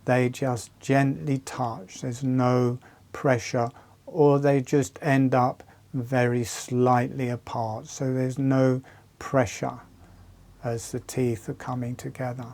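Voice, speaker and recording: A man leading a guided breathing and relaxation exercise, speaking calmly and soothingly in short phrases with long pauses. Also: mid-range voice.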